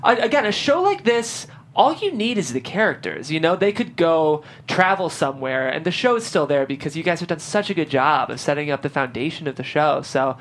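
Lively, fast-talking man hosting. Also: on the high side. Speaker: host of a table-read show. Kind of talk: casual panel chat. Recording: faint background room noise.

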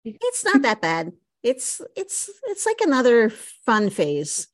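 A woman in her forties hosting a podcast interview, agreeable and quick to laugh. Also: a little high, wildly swooping pitch, no echo, studio quality. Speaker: podcast host.

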